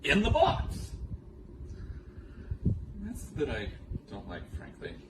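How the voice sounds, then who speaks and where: An actor playing a rambling, absurdly philosophical man musing haltingly on death in a comic stage monologue, recorded from afar in an echoey, noisy room. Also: medium pitch, huge pitch swings. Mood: nervously amused. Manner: musing, halting, self-correcting, abrupt swoops in emphasis. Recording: echoey room, distant mic, noisy background.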